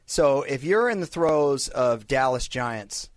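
A Texan man co-hosting a sports radio show, eager and packed with detail. His voice is mid-pitched and sounds dry and close to the mic in the studio. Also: expressive, takes it slowly, no echo. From Texas. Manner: enthusiastic, recalls stats in detail. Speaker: radio co-host.

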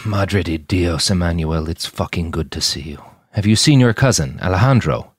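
A man voicing a weary older army major in an audiobook, measured and courteous, kindly with forced good cheer. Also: sits low, husky, dry recording, clean studio sound. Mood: weary, warm.

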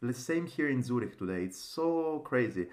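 A man's voice: mid-range voice, expressive, moderate pace, darkish tone. A man hosting a casual podcast in non-native English, with an enthusiastic, rambling, informal way of talking. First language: not English. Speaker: podcast host. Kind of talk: casual podcast intro and interview.

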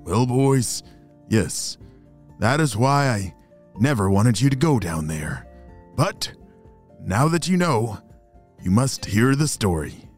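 A man voicing a 137-year-old grandpa tortoise, wise and protective, who speaks slowly and gently. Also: mid-range voice, big pitch swings, faint music behind, pitched below the performer's own voice. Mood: gentle.

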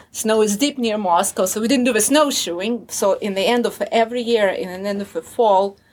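A woman from Russia with a Russian accent, a native Russian speaker, telling a casual personal story in English, unhurried and fond. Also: mid-range voice, a little hiss or hum.

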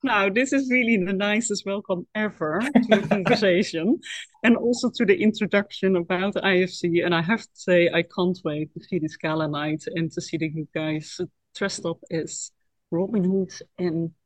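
A woman's voice: on the low side, moderate pace, no room sound, rough recording. A Dutch woman with a Dutch accent, a podcast guest speaking English in casual interview chat, lightly teasing. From Netherlands.

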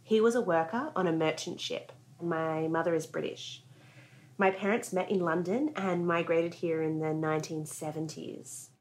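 A woman researcher talking in a relaxed, chatty way during an interview. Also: deep voice, normal speed, poor audio. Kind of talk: casual interview talk.

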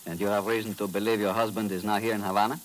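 An actor playing a courteous, businesslike Cuban police lieutenant, measured and formal, in a 1950s radio drama. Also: male voice, lowish voice, flat delivery, lightly acted, low-quality recording. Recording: old radio recording with faint hiss.

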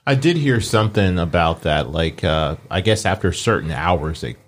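Laid-back male co-host with a low voice. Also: animated, moderate pace. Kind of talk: casual podcast chat.

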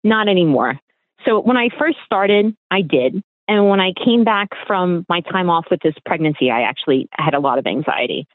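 A woman in her late thirties tells candid stories with lots of laughter. She comes through a thin, phone-like line that is dry and close, her pitch in a middle range. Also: moderate pace, no room sound. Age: 30s. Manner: candid, animated storytelling with laughs. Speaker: guest, competitor. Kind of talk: casual interview chat. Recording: tinny phone-like remote line.

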